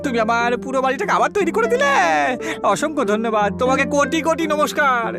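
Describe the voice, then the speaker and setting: Guy acting as a poor timid village man in a cartoon, high voice, plaintive, up and down a lot, music behind. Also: animated, fast talker. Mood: amazed, grateful. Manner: plaintive, questioning. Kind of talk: cartoon dialogue.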